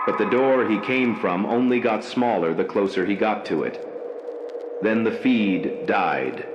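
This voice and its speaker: A man with a fairly low voice narrates a scripted horror tale in a slow, measured, ominous way. His voice rings in a roomy, echoing space. A steady wash of background noise sits under every line. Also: highs cut off. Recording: echoey room with background noise.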